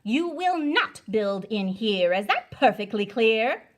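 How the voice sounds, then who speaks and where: A woman's voice: fairly high pitch, very animated, slow pace. A woman voicing a stern, dramatic female schoolteacher from a storybook, scolding and emphatic. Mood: angry scolding. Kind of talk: storybook read-aloud character voice.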